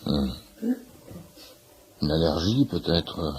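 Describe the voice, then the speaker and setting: An actor playing a chatty, meddling patient who fancies himself a doctor, fussy and repetitive, in a French radio comedy. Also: male voice, very deep voice, expressive, drawn-out words, darkish tone, slightly rough, full character voice. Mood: knowing. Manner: fussy, repetitive, self-important know-it-all.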